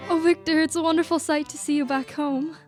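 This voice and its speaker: A young woman playing a gentle, devoted young bride in a radio play, speaking sweetly and formally. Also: high voice, fairly even intonation, a little fast, lightly acted. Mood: wistful. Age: young adult. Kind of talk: student radio play acting. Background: music underscoring.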